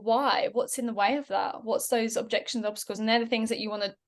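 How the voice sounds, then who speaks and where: A woman with a fairly high voice chats on a podcast. She speaks in an encouraging way and often ends her points with affirming questions. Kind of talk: casual conversational podcast.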